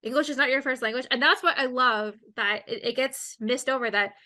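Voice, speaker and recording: Host: woman interviewer, slightly high, bright tone, loose rambling delivery on a video call. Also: a little fast. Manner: chatty, rambling, with lots of fillers. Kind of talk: casual interview chat.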